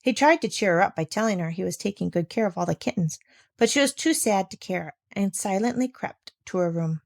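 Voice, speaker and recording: A woman's voice: medium pitch, lively intonation, brisk, creaky, a little mellow, no echo, studio quality. A woman narrating a children's story, reading aloud from the written text. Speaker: audiobook narrator.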